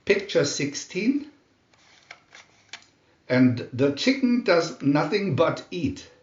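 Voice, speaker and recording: Lively high-pitched man reading a children's story. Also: slow, reverberant, highs cut off. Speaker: storybook narrator.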